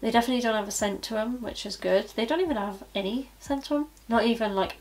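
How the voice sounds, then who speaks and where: Croaky-voiced woman doing a makeup review. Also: fairly high pitch, fast, soft background music. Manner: chatty, repeats fillers like obviously and so yeah. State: croaky from hay fever. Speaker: beauty vlogger and cosmetics consultant. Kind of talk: casual product review to camera. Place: small indoor studio with plain backdrop. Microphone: camera at head-and-shoulders framing.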